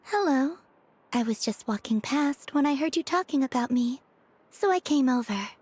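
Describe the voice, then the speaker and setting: Guileless young maiden, high pitch, serene, gentle, sweetly literal, video game character. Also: female voice, animated, normal speed, slightly rough, dry recording. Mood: calm.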